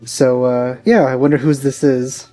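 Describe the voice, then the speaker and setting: A man's voice: medium pitch, little pitch movement, unhurried, dark tone. A man hosting a let's play, giving casual, hesitant, rambling commentary as he plays. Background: faint game music.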